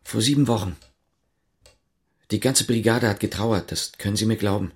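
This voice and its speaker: Casting: male actor as reserved policeman on a covert errand, low voice, clipped matter-of-fact delivery, radio play. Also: normal speed, natural voice. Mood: sober, controlled. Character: Martin, guarded East German policeman on a covert errand. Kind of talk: radio drama acting with inner monologue.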